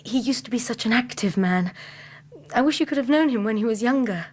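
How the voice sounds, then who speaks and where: An actress with an English accent playing a devoted, self-possessed young gentlewoman in a radio drama, earnest, polite and guarded. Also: a little high, expressive, fairly quick, breathy edge. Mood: sad, earnest. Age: young adult.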